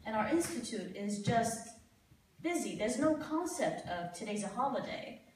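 A woman's voice: fairly high pitch, moderate pace. A young woman from Somalia tells stories on a festival panel and acts out the people she quotes. She sounds far from the mic, and her voice echoes around a large hall with a faint hiss underneath. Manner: animated storyteller, quoting others. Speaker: panel speaker. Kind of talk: panel discussion. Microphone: far camera.

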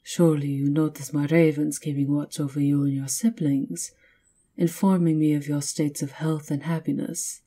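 A woman playing a seductive, menacing vampire countess in an ASMR roleplay, taunting in a breathy, theatrical purr that often drops toward a whisper. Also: sits low, steady tone, moderate pace, warm tone. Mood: seductive, hypnotic.

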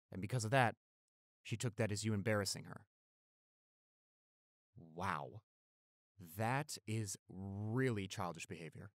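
A man's voice: on the low side, no echo, clean studio sound, close to an everyday voice. A man playing a protective, tender young boyfriend who turns fierce toward bullies, in an intimate audio roleplay. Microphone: close studio microphone.

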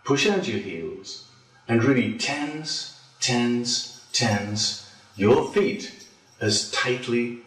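A man leading a guided relaxation as a yoga instructor, speaking deliberately with pauses between phrases. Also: medium pitch, a bit bright. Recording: roomy echo, distant from mic.

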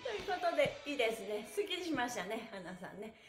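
A woman's voice: fairly high pitch, very animated, fast talker, echoey room, music in the background. A middle-aged woman leading a live-streamed stretching class in a cheerful, exuberant, encouraging manner. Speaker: stretching instructor and host. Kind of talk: live-streamed exercise instruction with counting chants and chat. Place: small bare-walled indoor studio room.

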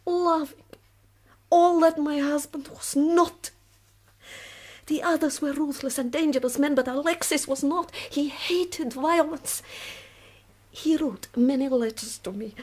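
An actress playing a proud, weary middle-aged revolutionary woman in a radio drama, speaking slowly and deliberately. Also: high voice, darkish tone. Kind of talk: BBC radio drama acting.

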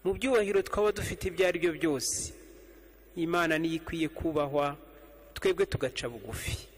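A middle-aged Rwandan man, a priest, giving a measured homily at evening Mass from behind the altar, repeating slow refrains. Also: fairly high pitch. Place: church sanctuary, standing behind an altar. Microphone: stand mic on the altar, fixed camera. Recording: long church reverb.

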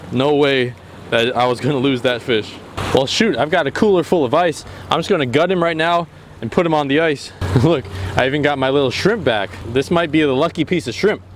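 Young man, fishing vlogger, casual narration, excitable, outdoors on a jetty, wind and water noise. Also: medium pitch. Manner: excitable, exclaims loudly when fish bite. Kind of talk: casual solo vlog narration. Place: outdoors on a rocky sea jetty. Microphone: action camera, near and far.

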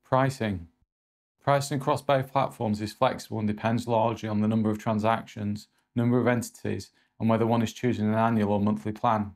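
A man in his forties presenting a scripted review in a measured, matter-of-fact way. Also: on the low side, fairly even intonation, a little mellow.

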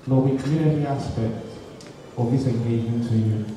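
A man's voice: medium pitch, a little fast. A man leading a public prayer as a pastor at a ceremony in a large hall. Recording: very echoey, distant, crowd noise.

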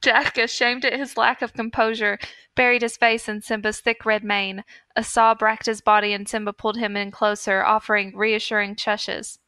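A woman's voice: fairly high pitch, level tone, moderate pace, sharp tone, clear, resonant tone, no echo. A woman podcast host reading fan fiction aloud in a mock-earnest storytelling manner.

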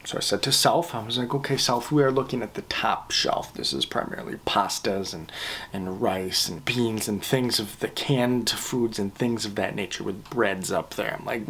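A man in his thirties telling a comic story at home to a close camera, animated and profane, jumping into whispers and mock-grand phrasing. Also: medium pitch, husky. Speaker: vlogger. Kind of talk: comedic storytelling rant. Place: living room at home, indoors. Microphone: camera close up at face level.

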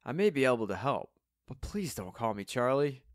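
Old gentleman pianist acted by a man, reserved, polite, measured, dry recording, storybook character. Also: mid-range voice, sing-song, no room sound, voice pushed up, natural voice.

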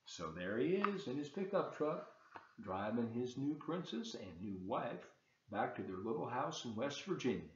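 A man in his seventies reads to children as a volunteer storyteller, pausing to explain as he goes. His delivery is expressive, with a widely swinging, mid-pitched voice. The webcam sound is roomy and a bit dull. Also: a little hiss or hum. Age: 70s. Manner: expressive, explains pictures to listeners. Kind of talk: read-aloud storytelling for children with asides. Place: large open kitchen and living room, indoors. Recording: echoey room, slightly dull webcam sound.

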